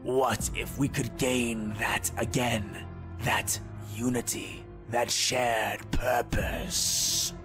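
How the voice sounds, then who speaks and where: An actor playing a sinister, zealous inquisitor villain in a deliberate, insinuating monologue that slips into whispers. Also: male voice, mid-range voice, little pitch movement, fairly bright tone, faint music behind. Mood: persuasive.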